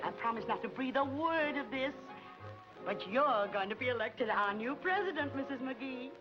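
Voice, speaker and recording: Gushy gossip club lady, real high voice, dull 1940s film sound. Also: animated, moderate pace, music in the background. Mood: gossipy. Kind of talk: 1940s film comedy acting.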